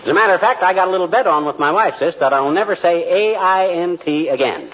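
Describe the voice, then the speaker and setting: An actor playing a blustery, cocky middle-aged husband with folksy, mock-pompous talk in a 1940s radio comedy. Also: male voice, high-pitched, expressive, quick pace. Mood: smug. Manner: folksy slang mixed with mock-pompous wordiness. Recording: muffled vintage radio recording, noisy, studio audience.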